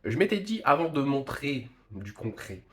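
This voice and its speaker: A man's voice: medium pitch, big pitch swings. A young man with a cold, chatting casually as a vlog co-host and often groping for words. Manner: halting, gropes for words. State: stuffy with a cold. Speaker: vlogger co-host. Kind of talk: casual couple chat.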